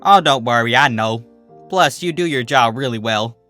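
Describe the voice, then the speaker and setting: A man voicing a steady, affectionate, teasing female farmer pony. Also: mid-range voice, moderate pace, faint music behind, close to an everyday voice. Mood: dry teasing.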